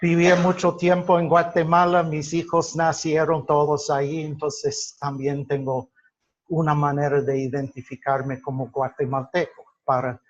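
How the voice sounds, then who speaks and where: Guy teaching a class, kinda high voice, slow and halting, muffled lo-fi audio. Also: little pitch movement. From United States. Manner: halting, deliberate, with drawn-out pauses. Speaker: lecturer. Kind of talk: explanatory class lecture with personal examples. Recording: dull lo-fi sound.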